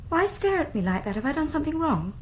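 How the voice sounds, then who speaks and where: A British woman playing a timid, devout young nun in a radio drama, hushed, anxious and deferential. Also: high voice, very animated, quick pace, slight background noise.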